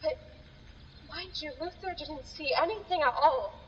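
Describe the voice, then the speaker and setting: A woman's voice: high voice, a little slow. A woman playing an anxious rural villager in a horror radio drama, urgent and folksy, in a muffled, echoey recording. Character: anxious rural villager relaying frightening eyewitness news. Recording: muffled, echoey hall with faint noise.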